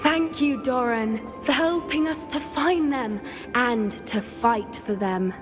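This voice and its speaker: A woman voicing a gentle, earnest teenage witch in a video game, sincere and slightly hesitant. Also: high-pitched, slow, over music. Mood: tender, moved. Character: earnest, gentle teenage schoolgirl at wizard school. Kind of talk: naturalistic video game cutscene acting.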